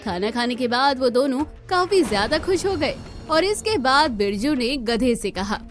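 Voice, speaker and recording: A woman's voice: sits high, big pitch swings, moderate pace, soft background music. A woman reading scripted narration for a cartoon moral story.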